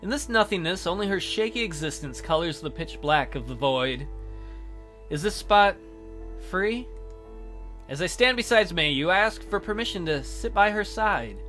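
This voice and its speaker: A man hosting a let's play, reading a visual novel aloud in a theatrical, expressive way. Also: high-pitched, moderate pace. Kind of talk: dramatic read-aloud of a visual novel, with casual asides. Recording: music behind the voice.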